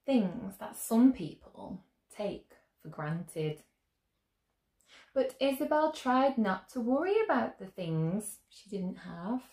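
A young woman reading a picture book aloud to children as a storyteller, expressively, with gentle questions to her listeners. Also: medium pitch, very animated, takes it slowly. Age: young adult. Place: small room at home, indoors. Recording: roomy indoor echo.

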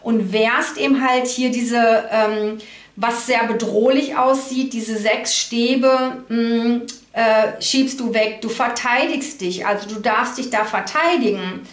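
A woman giving an unscripted card reading to the viewer, thinking aloud haltingly and adding small tag questions. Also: fairly high pitch. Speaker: tarot card reader, host. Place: indoor room at a table. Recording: roomy echo.

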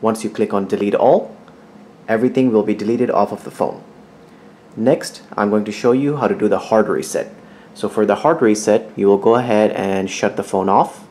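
A man with a lowish voice presents a step-by-step tech tutorial. He explains each instruction plainly and methodically, in informal wording. Some light noise sits behind his voice. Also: moderate pace, a little mellow. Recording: clearly audible background noise.

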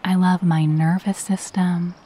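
A woman guiding a meditation in a soft, breathy voice, with a gentle, soothing and unhurried delivery. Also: fairly low pitch, little pitch movement, slight background noise. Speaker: meditation guide. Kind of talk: guided meditation with spoken affirmations.

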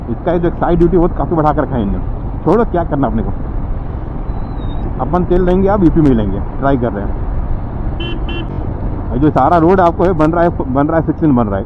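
A man, talking to viewers in a relaxed, chatty way as he rides his motorbike on a road trip. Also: on the high side, dull audio. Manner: chatty, addresses viewers as bhaiya. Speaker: motovlogger. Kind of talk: casual road-trip commentary. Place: outdoors on a highway, riding a motorbike. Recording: noisy road and traffic outdoors.

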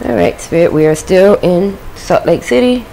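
A woman's voice: fairly low pitch, animated, normal speed, dark tone, slight background noise, low-quality recording. A woman doing a casual tarot reading at a home table, chatting to the spirit and her viewers. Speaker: tarot reader.

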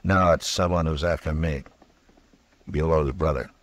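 An American actor playing a resolute Old West lawman in an old-time radio western, speaking in a measured, firm, plain way. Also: rumbling low, steady tone. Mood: calm, wary.

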